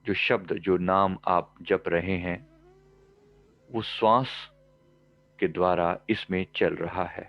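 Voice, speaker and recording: A man with a low-pitched voice guides a meditation. He gives calm, measured instructions in short phrases, leaving pauses between them. The pace is very slow, and the recording sounds slightly dull. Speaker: meditation teacher and life coach.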